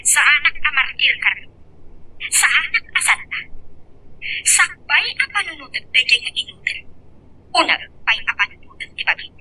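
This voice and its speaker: A woman acts out a scornful, practical young-love rival in an Ilocano audio drama. Her voice is high and piercingly bright, swooping as she brushes people off curtly. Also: very animated, normal speed, long pauses, exaggerated voice, rough recording. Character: curt, scornful, practical-minded woman named Monica. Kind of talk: Ilocano radio-style love drama acting.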